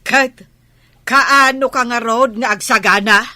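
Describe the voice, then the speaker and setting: A woman playing a nagging, bossy wife in a radio comedy. Her voice is highish and piercing, very dry and close, giving orders as she scolds. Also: takes it slowly, pushed and bright, no echo, full character voice. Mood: scolding. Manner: commanding, nagging. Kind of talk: Ilocano comedy radio drama acting.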